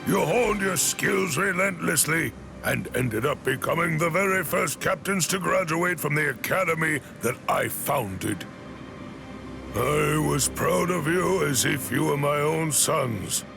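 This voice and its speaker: A man voicing a stern, imposing old sword master in an anime game, with commanding, formal speech and a gravelly, creaky voice. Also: a little high, measured pace. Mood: solemn regret. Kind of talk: dramatic anime game voice acting. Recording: orchestral game music behind the voice.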